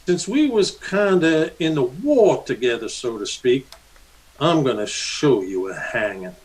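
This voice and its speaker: A man's voice: mid-range voice, big pitch swings, a little mellow, low-quality recording. An American man playing a menacing, mocking cowboy bully in an old-time radio Western. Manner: mocking, slow-drawling taunts. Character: menacing cowboy bully, sneering ex-Confederate guerrilla.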